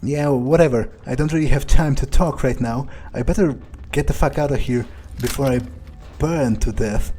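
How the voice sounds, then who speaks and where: A man's voice: medium pitch, normal speed, hardly any pauses, dark tone, slight background noise. A man roleplaying through a video game in character, thinking aloud in a sardonic way with plenty of swearing. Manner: sardonic, muttering, heavy swearing. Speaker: gameplay streamer roleplaying in character. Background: game music.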